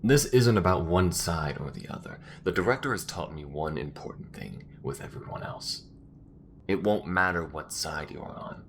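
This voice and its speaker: A man's voice: animated, moderate pace, noisy background. A man playing a weary, principled space rebel in a sci-fi audio drama, speaking in an earnest, emphatic way. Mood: defiant, firm. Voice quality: low-pitched.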